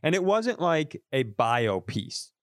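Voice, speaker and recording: A man's voice: fairly high pitch, sing-song, takes it slowly, dry recording, clean studio sound. A man telling a rambling story on a podcast, swearing and restarting his sentences as he goes. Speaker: comedian podcast host. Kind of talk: casual storytelling chat.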